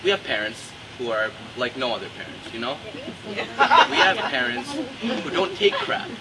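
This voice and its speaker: A man from North America telling stand-up comedy stories in an animated way, acting out dialogue as he goes. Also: medium pitch, moderate pace, a loud crowd. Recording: very noisy live recording from far off.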